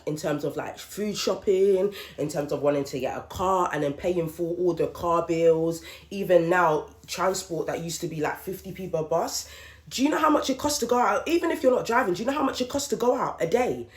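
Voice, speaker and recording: A woman, hosting a podcast and venting her thoughts in a candid solo monologue, with emphatic repetition. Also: fairly low pitch. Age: late 30s. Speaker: podcast host. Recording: roomy echoey room.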